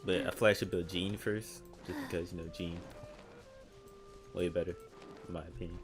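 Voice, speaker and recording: A man's voice: low-pitched, flat delivery, brisk. A man giving casual, chatty commentary while he plays a video game. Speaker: gamer. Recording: game music behind the voice. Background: video game music.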